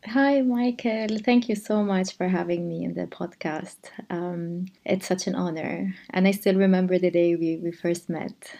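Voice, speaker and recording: A woman from Lebanon, a podcast guest, speaking softly and hesitantly, pausing and restarting as she reflects. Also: fairly low pitch, steady tone, gravelly. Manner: soft-spoken, hesitant, reflective. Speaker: guest, charity founder. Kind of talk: podcast conversation.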